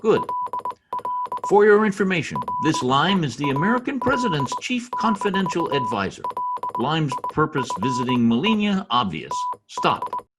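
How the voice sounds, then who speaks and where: A man's voice: a little high, very animated, moderate pace, very noisy. A man playing a pompous, scheming Latin diplomat in old-time radio drama style, theatrical in manner. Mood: pompous, urgent.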